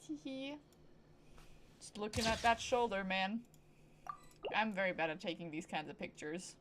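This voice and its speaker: A woman's voice: medium pitch, moderate pace, noisy background. A woman streaming a video game with casual commentary, playfully muttering short asides to herself. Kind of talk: casual live gameplay commentary. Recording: game music under the voice.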